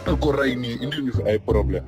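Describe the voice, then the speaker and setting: An actor playing a young man in a cartoon who calmly explains that they are only filming. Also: mid-range voice, fast talker, loud music behind the voice, lightly acted. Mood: placating.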